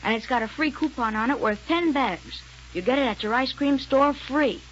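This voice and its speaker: A woman delivering a scripted sales pitch in a 1930s radio commercial. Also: normal speed. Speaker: advertising announcer. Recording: muffled, noisy 1930s radio recording.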